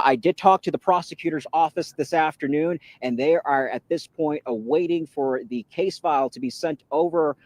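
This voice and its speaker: Higher-voiced male TV news reporter. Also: a little mellow, dry recording, poor audio.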